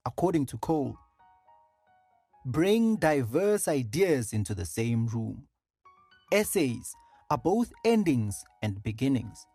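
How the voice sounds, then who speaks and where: A narrator reading an audiobook in a measured, deliberate and formal way. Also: medium pitch, darkish tone, husky, no echo.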